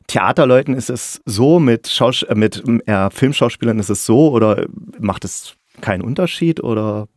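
A man's voice: mid-range voice, expressive, moderate pace, hardly any pauses, no room sound, clean studio sound. A man hosting a casual interview, asking tentative questions that he rephrases as he goes. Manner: tentative, rephrasing questions, frequent listening murmurs. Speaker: interviewer, podcast host.

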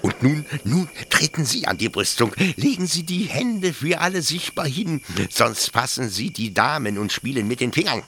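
A man's voice: mid-range voice, very animated, moderate pace, few pauses, a little hiss or hum. A man playing a fanatical, agitated colonial officer in a radio play, pleading insistently and stammering. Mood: tense, eager. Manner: feverish, stammering, insistent, swinging from hushed to shouting. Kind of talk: German radio play acting.

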